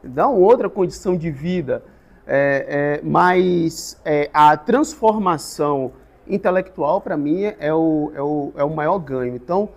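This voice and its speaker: A man, a guest teacher giving a thoughtful, halting interview answer with many restarts. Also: fairly high pitch. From Salvador, Brazil.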